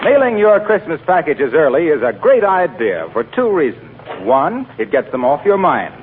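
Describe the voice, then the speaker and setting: A man announcing a 1940s radio show, reading scripted sponsor pitches with polished, emphatic salesmanship. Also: sing-song, normal speed, noisy background. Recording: tinny 1940s radio broadcast.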